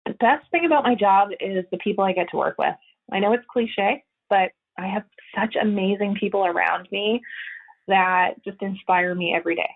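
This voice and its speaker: Woman exec guest, husky and gravelly, earnest and halting, heard over a tinny phone line. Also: medium pitch. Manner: earnest, explanatory, frequent hesitations. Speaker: HR executive, podcast guest. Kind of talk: conversational interview answers.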